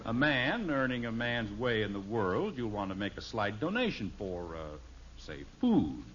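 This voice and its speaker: A man's voice: fairly low pitch, very animated, normal speed. A man playing an exasperated middle-aged American father in a 1950s radio sitcom, blustery and dryly sarcastic. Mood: dry, teasing. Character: exasperated middle-aged father, short-tempered yet wry. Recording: dull, noisy old radio recording.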